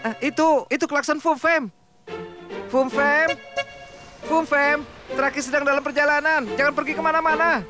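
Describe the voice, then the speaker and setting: A woman's voice: sits high, steady tone, normal speed, pauses often, natural voice. A woman voicing a fussy, timid talking road in a preschool cartoon, hesitant and fretful. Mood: anxious. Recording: music under the voice.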